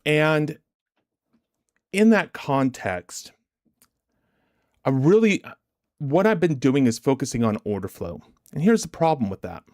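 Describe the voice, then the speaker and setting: A man hosting a livestream as a motivational trading coach, deliberate and emphatic, his chest a little congested from a recent illness. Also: a little high, expressive, dry recording, clean studio sound. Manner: deliberate, emphatic, repetitive rhetorical questions. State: chesty, getting over bronchitis, clearing throat.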